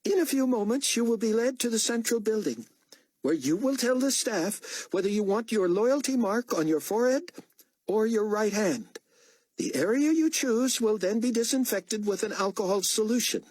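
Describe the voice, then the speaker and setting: Man putting on a shrill menacing male official. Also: animated, normal speed, no echo. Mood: menacing, commanding. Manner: loud commanding announcement, raised high voice. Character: male official barking orders at prisoners, menacing.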